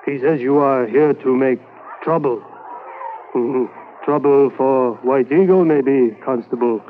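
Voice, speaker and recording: An old, kindly fisherman from the reserve played by a man in a 1950s radio play, who talks slowly in plain, broken English. The broadcast sounds narrow and phone-like, with a faint hiss. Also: medium pitch, lightly acted. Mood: friendly, confiding. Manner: slow, simple broken English.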